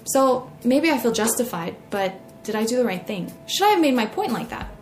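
A young woman with an American accent narrating a personal essay in an expressive way as a podcast host. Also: fairly high pitch, fairly quick. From United States. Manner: expressive, acts out quoted remarks. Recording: roomy echo, faint music.

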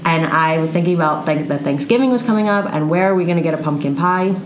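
A woman's voice: deep voice, fast talker. A young woman from Pennsylvania, a guest telling her story in a casual, chatty interview. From Pennsylvania, United States. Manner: chatty, storytelling, easy laughs. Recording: echoey room, faint background noise.

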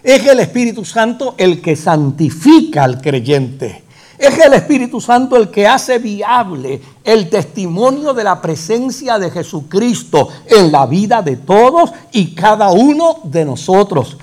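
Fervent Puerto Rican preacher, slow. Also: male voice, high voice, very animated, darkish tone. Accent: Puerto Rican Spanish. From Puerto Rico. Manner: rhetorical questions, emphatic repetition, swelling to fervent peaks. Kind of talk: sermon.

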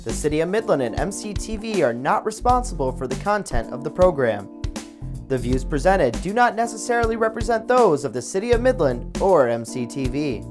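A woman reading a formal station disclaimer in a measured way. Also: deep voice, very animated. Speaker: station announcer. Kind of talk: read disclaimer. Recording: announcer over guitar music.

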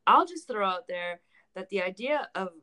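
A woman's voice: mid-range voice, animated, moderate pace, bright, forceful tone. A woman in her mid-twenties chatting casually and candidly on a podcast, blunt and self-deprecating, swearing freely. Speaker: podcast co-host.

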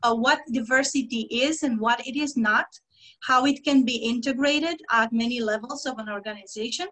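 A woman from Romania, a guest on a podcast explaining her work as a diversity trainer in a measured way, with frequent pauses and fillers. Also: fairly high pitch, a bit bright. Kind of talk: podcast interview.

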